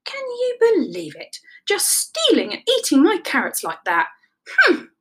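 A woman's voice: fairly high pitch, expressive, unhurried, noisy background. A woman voicing a moody, indignant rabbit in a children's story, with a huffy, snappish, exclamatory delivery. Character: moody, indignant, dramatic rabbit fussing over carrots. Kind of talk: animated children's storybook character voice.

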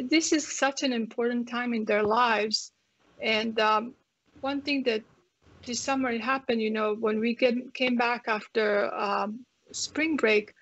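A woman's voice: a little high, a bit bright. A woman from Croatia with a Croatian accent, a physician guest speaking earnestly with many fillers and restarts. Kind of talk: panel discussion. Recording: muffled lo-fi audio.

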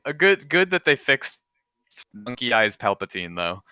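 Bright, clean-voiced man chatting casually. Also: mid-range voice, big pitch swings, unhurried, clear, resonant tone, dry recording. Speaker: podcast co-host. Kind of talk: casual panel chat.

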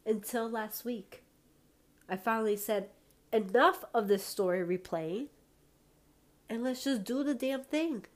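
A woman hosts her solo podcast at an unhurried, deliberate pace. Her voice is a little congested and wheezy, and she encourages listeners directly in a warm-hearted motivational tone. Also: on the high side. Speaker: podcast host.

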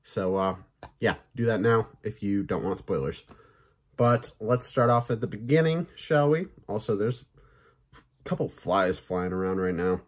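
Male podcast host, lowish pitch, slightly raspy and sore, casual and chatty, swears freely. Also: animated, measured pace. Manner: loose and chatty, swears, many fillers. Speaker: podcast host reviewing films and shows. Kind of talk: casual review chat.

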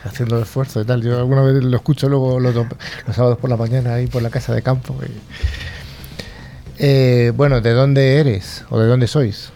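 A man hosts a radio interview in a mellow, darker tone. He chats easily, steering his guests with leading questions. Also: medium pitch, flat delivery, fairly quick, a little hiss or hum. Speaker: radio host.

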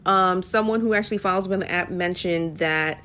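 A young woman talks casually to her viewers in a lowish voice. She rambles and pads her sentences with ums and uhs, in a relaxed, unscripted vlog style. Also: a little fast. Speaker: music vlogger. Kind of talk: casual talk to camera.

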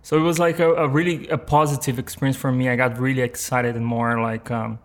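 A man in his thirties with a Brazilian accent and Portuguese as first language, chatting in an interview with halting phrasing. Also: mid-range voice, flat delivery. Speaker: podcast guest, motion and 3D artist. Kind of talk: casual interview conversation.

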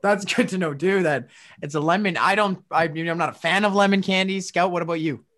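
A man's voice: sits high, a little fast, fairly bright tone. A man co-hosting a comedy podcast, teasing the guests and playfully mangling their names. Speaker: co-host.

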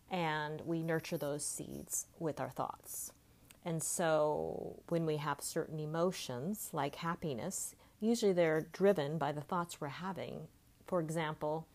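A woman in her late fifties speaking as a life coach, giving an informal, reflective motivational podcast monologue in a conversational way. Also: low-pitched. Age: late 50s.

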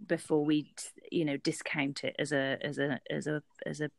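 A woman's voice: deep voice, flat delivery, normal speed, gravelly, no room sound. A woman co-hosting a workplace podcast, talking earnestly and often restarting her sentences. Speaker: podcast co-host. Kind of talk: casual workplace podcast chat.

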